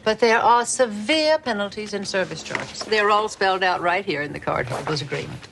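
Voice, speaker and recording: Businesslike credit officer, creaky mid-pitched voice, poised, formal, coolly firm, television drama. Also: female voice, animated, noisy background. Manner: measured, formal. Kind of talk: naturalistic television drama acting.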